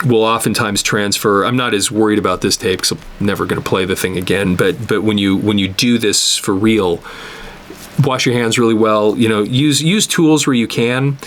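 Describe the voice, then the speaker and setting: A man giving an unscripted hands-on repair tutorial, explaining step by step in a halting way and repeating words as he works. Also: on the low side, a bit bright, slight background noise. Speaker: instructor demonstrating cassette tape repair.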